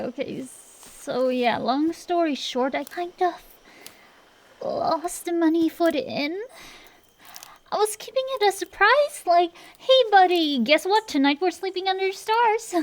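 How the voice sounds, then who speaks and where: Casting: female performer as a young-sounding fantasy dragon girl, high pitch, unhurried delivery, cocky tomboy scamp, defensive banter, ASMR roleplay. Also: animated, full character voice. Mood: evasive, sheepish. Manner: blustering, bantering, hesitant excuses full of drawn-out pauses. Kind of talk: ASMR roleplay, one-sided comedic dialogue.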